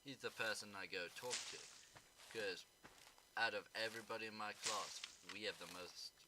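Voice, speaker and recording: Rambling deep-voiced South African teen boy. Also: steady tone, moderate pace, noisy background. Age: teens. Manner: rambling, sarcastic, swears casually. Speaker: gaming YouTuber. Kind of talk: rambling casual chat while gaming.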